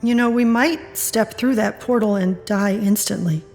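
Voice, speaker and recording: A woman's voice: medium pitch, unhurried, darkish tone, lightly acted. Wry middle-aged woman played by an actress, music underneath. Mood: searching. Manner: sardonic, wisecracking. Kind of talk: audio drama acting.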